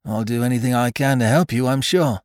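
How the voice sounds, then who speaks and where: A man's voice: mid-range voice, a little fast, no echo, studio quality, voice pushed up, close to an everyday voice. A man voicing an affable, well-bred young army captain in an audiobook, with a clipped and casual manner. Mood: helpful, brisk. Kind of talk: audiobook character voice.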